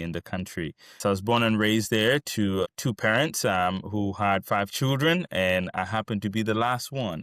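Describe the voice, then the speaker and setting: A Ugandan man in his thirties with a very low, deep voice shares his life story in an earnest, heartfelt way. He often pauses on fillers and restarts his sentences. The sound is close and dry, with no room echo. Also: a bit bright. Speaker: alumnus telling his story. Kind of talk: personal storytelling to camera.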